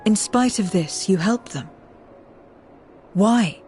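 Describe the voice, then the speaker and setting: A woman acting a solemn, detached questioner in a video game cutscene, speaking in a measured, formal, probing way. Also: mid-range voice, animated, mellow, slight background noise. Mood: probing, puzzled.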